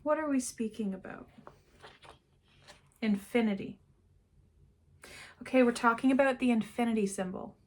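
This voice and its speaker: A woman giving an unscripted spiritual card reading to camera, meandering and often trailing off into quiet asides. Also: a little high, normal speed, long pauses, a little hiss or hum. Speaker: intuitive card reader.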